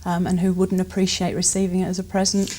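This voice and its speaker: A woman's voice: lowish voice, completely flat delivery, fast talker, warm tone, slight background noise. A British woman answering questions in a conversational interview as a retail guest. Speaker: interview guest, shop supervisor.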